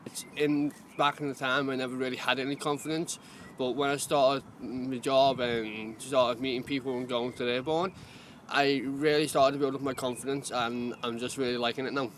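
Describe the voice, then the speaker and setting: Young-sounding gardener, halting. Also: male voice, mid-range voice, little pitch movement, fairly bright tone, slight background noise. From Liverpool, England. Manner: simple, halting, open. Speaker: community gardener. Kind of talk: interview answers outdoors.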